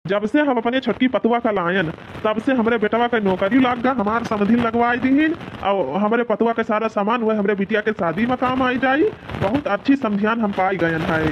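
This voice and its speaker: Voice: medium pitch, normal speed, highs cut off, noisy background, natural voice. A performer voicing an agreeable, reassuring village family man in an Awadhi cartoon comedy, with a coaxing manner and an Awadhi accent.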